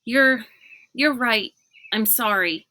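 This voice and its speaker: A woman's voice: on the high side, slow pace, bright, forceful tone. A woman voicing a bold, restless young girl in a children's storybook, with a blunt manner. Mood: apologetic. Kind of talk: children's storybook read-aloud.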